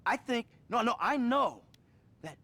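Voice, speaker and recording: A man's voice: very high voice, expressive, a little slow, sharp tone, full character voice. An actor playing a troubled middle-aged American criminal in a video game, rambling and profane as he confides in his therapist. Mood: agitated, distressed. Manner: profane, rambling, agitated, sighing. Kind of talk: acted video game cutscene dialogue.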